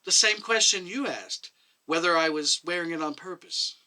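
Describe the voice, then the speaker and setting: A man voicing a nervous, apologetic middle-aged woman medic, halting and defensive, in a short story reading. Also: high voice, bright, forceful tone, pitched above the performer's own voice, low-quality recording. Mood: meek, tearful.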